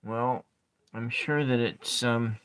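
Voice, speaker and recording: A man with a calm voice chatting softly with viewers while he draws and colors tarot cards, trailing off and restarting as he works. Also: slow pace, clean tone. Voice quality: calm speaking voice. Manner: quiet, low-key chatting with viewers. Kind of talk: soft-spoken ASMR art livestream chat.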